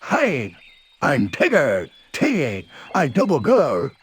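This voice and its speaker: A man voicing a boisterous, bouncy, cocky cartoon tiger with a raspy lisp. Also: a little high, wildly swooping pitch, slow pace, full character voice.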